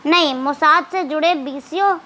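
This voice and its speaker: A woman's voice: high voice, normal speed, pushed and bright. A woman presenting scripted news commentary with conversational asides, in a sarcastic, mocking and dramatic storytelling manner. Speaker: presenter of an explainer video.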